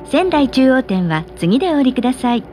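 A woman reading scripted announcements and adverts over a train PA, polite and clearly articulated. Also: high voice, sing-song, fairly quick. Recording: train PA with vehicle noise.

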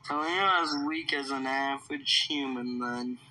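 Bright-voiced man roleplaying in a game. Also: mid-range voice, fairly even intonation, a little slow, slight background noise, rough recording. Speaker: player in an online roleplay game. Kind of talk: improvised in-character game roleplay with banter.

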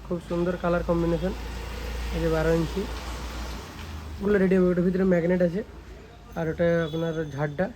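Young male shop presenter, West Bengali accent, high voice, informal showcase delivery with frequent check-in tags, faint ambient noise. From West Bengal, India. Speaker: speaker repair shop presenter. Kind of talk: informal product showcase vlog.

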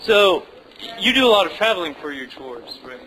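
A man's voice: high voice, big pitch swings, moderate pace, sharp tone, rough recording. A man hosting an interview, asking prepared questions in a slightly formal way.

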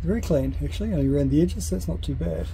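A man's voice: a little high, animated, racing. A man in his forties with a New Zealand accent, explaining boat engine work to a handheld camera on his sailboat. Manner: chatty, practical, explains boat mechanics step by step. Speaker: sailing vlogger. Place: sailboat, outdoors at sea and on deck at night. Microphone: handheld camera at arm's length. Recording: loud wind and engine noise.

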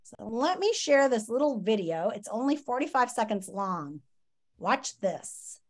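Woman, fifties, lively swooping intonation, dramatic teacherly read-aloud, emphatic pauses, home video call. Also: fairly high pitch. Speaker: teacher reading aloud to children. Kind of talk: expressive read-aloud of a nonfiction children's book. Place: small home room, indoors. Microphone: video call, computer microphone.

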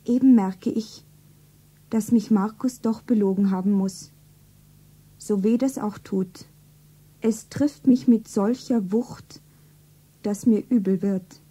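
An actress playing a lovelorn, naive young shopgirl, plaintive and earnest, with a soft breathy voice in a radio drama. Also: mid-range voice, steady tone, slow, long pauses. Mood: anxious, hurt. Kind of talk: stylised radio drama, self-narrating.

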